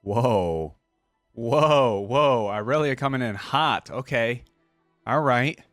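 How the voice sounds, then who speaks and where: A man hosting a reaction video, giving casual, rambling gaming commentary as he thinks out loud. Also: mid-range voice, lively intonation, slow, no room sound, clean studio sound, soft background music.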